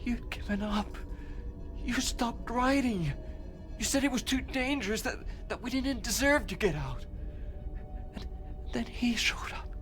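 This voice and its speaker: An embittered, obsessive artist in a game drama. He speaks slowly and haltingly, drifting into breathy, hushed tones over clear music. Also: extremely high pitch, expressive, heavily acted. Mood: wounded, accusing. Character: embittered, obsessive filmmaker confessing failure, urging revenge. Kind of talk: dramatic video game acting.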